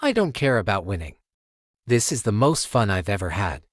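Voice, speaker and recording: A man's voice: fairly low pitch, very animated, moderate pace, no echo, studio quality. A man voicing a kindly, slightly downcast father cat in a children's storybook, mild and reassuring. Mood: contented. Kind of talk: children's storybook read-aloud.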